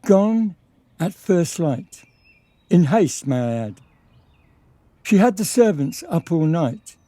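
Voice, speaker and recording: An actor playing a proud, easily affronted lord in a period radio drama, with a haughty, commanding manner and archaic formal diction. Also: male voice, high-pitched, lively intonation, slow pace, warm tone, no echo, heavily acted. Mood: gruff, indignant.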